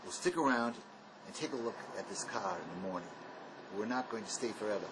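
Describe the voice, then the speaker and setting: An actor playing a gruff, hard-nosed man on the run, sarcastic and bossy, in dramatic dialogue. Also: fairly low pitch, expressive, brisk, heavy background noise, lightly acted. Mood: grudging.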